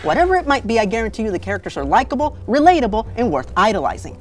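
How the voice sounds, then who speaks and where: A man hosting a scripted comedic film review in an animated, sarcastic manner. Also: high-pitched, rapid-fire, faint music behind. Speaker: video reviewer, show host.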